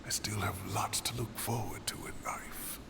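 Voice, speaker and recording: An actor playing a stubborn, proud old scholar in a video game, weary and wistful, with a worn, breathy voice. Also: male voice, lowish voice, fairly even intonation, moderate pace, whispered. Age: older.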